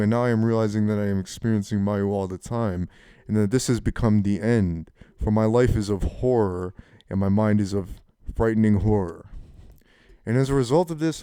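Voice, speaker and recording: A man in his twenties with a deep voice, rambling frankly and casually in a personal monologue, swearing now and then. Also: warm tone, clear, resonant tone, no room sound, studio quality. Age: 20s. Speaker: channel host.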